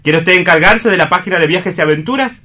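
Worldly persuasive mentor, accent from Spain, high bright voice, emphatic theatrical delivery, radio play. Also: male voice, fast. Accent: Spanish (Spain). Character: eloquent newspaperman, worldly, persuasive male mentor.

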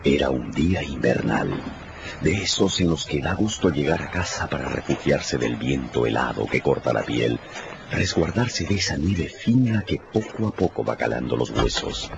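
A man's voice: a bass voice, animated, normal speed, creaky, noisy background. A man narrating a radio drama from a script in a dramatic storytelling style. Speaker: narrator, announcer. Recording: muffled radio production with music.

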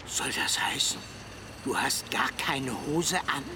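Radio play guardian, stern and condescending, swooping delivery, noisy street behind. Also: male voice, mid-range voice, expressive, takes it slowly. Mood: scolding. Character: stern, patronising self-appointed guardian of a youngster. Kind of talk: German radio drama acting. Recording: noisy street with traffic and machinery.

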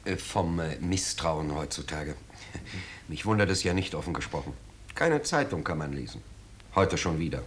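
An actor playing an uneasy, well-to-do householder in a 1950s German radio play, speaking with hesitant, formal politeness. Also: male voice, a bass voice, animated, normal speed. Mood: indignant. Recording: noisy 1950s radio drama recording.

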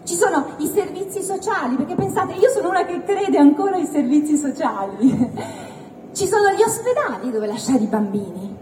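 A woman's voice: sits high, lively intonation, normal speed, a little mellow. A woman performing a provocative stand-up monologue in a mock-earnest, rhetorical manner. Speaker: stand-up comedian. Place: live indoor theatre venue. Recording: echoey hall, distant mic, faint noise.

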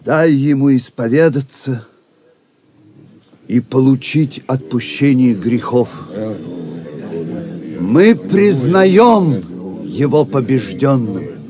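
Very slow, solemn Templar master. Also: male voice, mid-range voice, animated. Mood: urgent, grave. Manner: grave, ceremonial. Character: solemn, authoritative Templar grand master presiding over judgement. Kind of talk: audio drama acting. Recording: crowd noise behind the voice.

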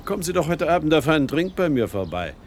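An actor playing a sociable, inquisitive neighbour in a radio play, chatty and probing. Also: male voice, a little high, big pitch swings, fast. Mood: sociable, curious. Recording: faint outdoor ambience noise.

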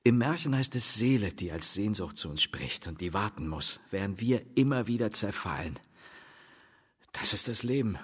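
An actor playing a bitter, tormented husband in a literary radio drama, speaking in a solemn, declamatory and halting way. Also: male voice, on the low side, expressive, slightly rough. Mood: brooding. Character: bitter, brooding, fatalistic husband, resigned yet tormented. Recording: long hall reverb.

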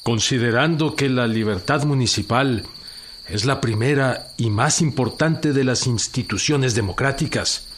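A man's voice: medium pitch, unhurried, lightly acted. An actor playing a solemn revolutionary-era man proclaiming a decree formally in a radio drama. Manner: formal, declamatory.

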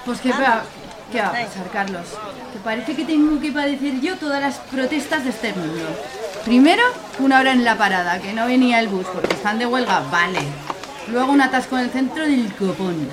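Radio serial: brassy middle-aged cleaning woman played by an actress, Aragonese, highish voice, teasing grumbler, busy crowd behind. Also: expressive, brisk, lightly acted. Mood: exasperated. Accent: Aragonese Spanish. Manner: salty, colloquial, exclamatory. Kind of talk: radio serial comedy acting.

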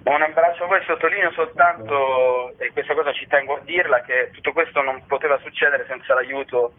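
A man's voice: fairly high pitch, steady tone, brisk. A man with a Roman Italian accent talks at length in an informal radio phone interview, explaining and often adding tag questions. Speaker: actor and author interviewed about his show. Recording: muffled narrowband phone line on radio.

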